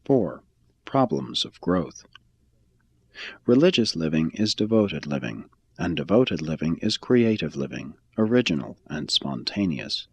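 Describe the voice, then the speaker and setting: A man with a slightly low voice reads a spiritual text aloud. His pitch moves widely and expressively across each sentence. The delivery is formal and solemn, with measured, deliberate phrasing, like an audiobook. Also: very animated, husky. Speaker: reader of a religious text. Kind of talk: scripted spiritual narration, audiobook reading.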